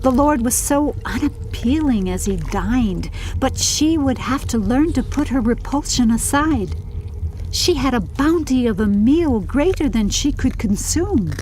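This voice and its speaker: A woman's voice: sits high, expressive, measured pace, darkish tone, a little hiss or hum, low-quality recording. A woman reading scripted audiobook narration of a story.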